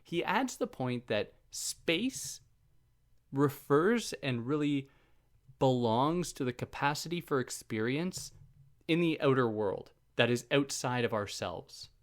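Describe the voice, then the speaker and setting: A man talks through a philosophy text in a relaxed, chatty way, as if explaining it to a friend. He jokes at his own expense and slips into side points before coming back on track. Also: mid-range voice, slow pace. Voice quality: soothing voice. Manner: chatty, self-deprecating, goes off on tangents. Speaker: philosophy podcast host. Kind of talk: casual explanatory lecture.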